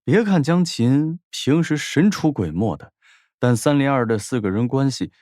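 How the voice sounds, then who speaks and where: A man's voice: medium pitch, animated, moderate pace, a little mellow, no echo, studio quality. A man narrating a scripted story as an audiobook narrator, in a dramatic storytelling style.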